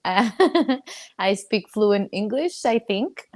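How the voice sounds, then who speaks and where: A young woman from Mexico who speaks English with a Mexican Spanish accent, Spanish being her first language. She talks casually as a guest in a live coaching session, halting and searching for words with many fillers and restarts. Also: mid-range voice, lively intonation, a little mellow, dry recording, clean studio sound.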